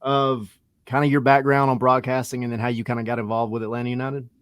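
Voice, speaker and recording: A man's voice: medium pitch, little pitch movement, fast, fairly bright tone, no room sound, studio quality. A man co-hosting a podcast, asking questions casually in a meandering, hesitant way. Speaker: podcast co-host, interviewer. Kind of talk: casual interview.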